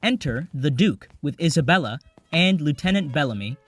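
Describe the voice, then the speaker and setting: A man's voice: on the high side, animated, normal speed, soft background music. A man reading stage directions as the narrator of a scripted audio play.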